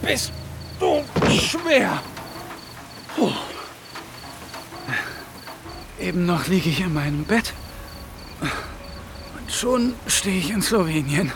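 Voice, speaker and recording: An actor playing a secretive, burdened male accomplice in a radio drama, speaking in a deliberate way. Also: high-pitched, very animated, gravelly, noisy background, exaggerated voice. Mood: strained, bitter. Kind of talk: radio drama acting with intimate inner monologue. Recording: music under the voice.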